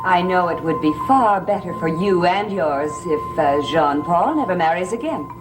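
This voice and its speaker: An actress playing a sly, mocking society gossip in a gothic soap opera, arch, needling and condescending. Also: female voice, fairly low pitch, lively intonation, normal speed, close to an everyday voice, rough recording. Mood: needling, falsely concerned. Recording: music behind the dialogue.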